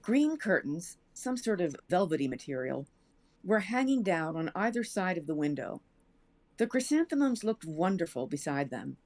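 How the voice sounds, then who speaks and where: Lower-pitched American woman reading a story. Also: very animated, normal speed, a little mellow. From United States. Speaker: show cast member reading a story aloud. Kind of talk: storytelling, reading fiction aloud.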